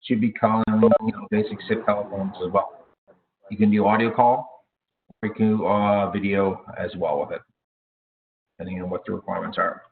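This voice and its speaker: A man giving informal product training in a halting way, with frequent hesitations and self-corrections. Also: fairly low pitch, little pitch movement, creaky, background noise. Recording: thin, phone-like narrow-band audio.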